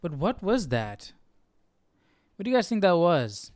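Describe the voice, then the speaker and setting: A man's voice: high-pitched, very animated, measured pace, no echo, studio quality. A man hosting a casual live Bible study, musing and questioning as he thinks aloud. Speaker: host.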